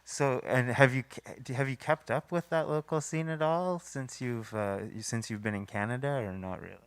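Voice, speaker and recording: A man's voice: medium pitch, normal speed, clear, resonant tone, no echo. A man hosting and moderating a post-show Q&A, asking questions in a hesitant, friendly way. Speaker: festival host and Q&A moderator. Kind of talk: moderating a live Q&A.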